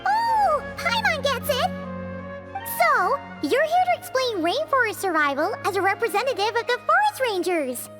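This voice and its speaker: A woman voicing a small, bubbly, childlike fairy companion with a squeaky, excitable delivery in an anime game dub. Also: normal speed. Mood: curious. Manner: excitable, speaks of self in third person. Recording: music playing behind the voice.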